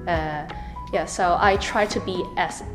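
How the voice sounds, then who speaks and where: A woman from Canada with a Canadian accent, co-hosting a community radio show in lively casual chat full of fillers. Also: on the low side, measured pace, roomy sound, long reverb tail, soft background music, poor audio. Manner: chatty, animated, many fillers. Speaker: radio co-host.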